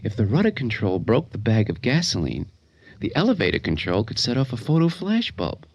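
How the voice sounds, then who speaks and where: A man's voice: fairly low pitch, wildly swooping pitch, brisk, husky, no room sound, highs cut off, full character voice. An actor playing a disturbed, scheming son in a radio drama, stammering and shifting tone as he plots murder with nervous glee. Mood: manic glee. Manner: stammering, talking to himself in shifting tones. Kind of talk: old-time radio drama acting.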